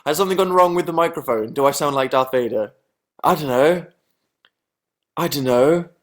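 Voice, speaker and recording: A young man hosting a casual livestream, reading viewer comments aloud and answering them with sarcastic, deadpan, self-mocking jokes. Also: on the high side, normal speed. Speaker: livestream host.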